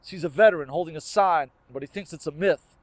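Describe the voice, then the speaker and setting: A man's voice: on the high side, fairly quick, no echo. A man performing rhymed spoken word poetry with a rhythmic, emphatic and earnest delivery.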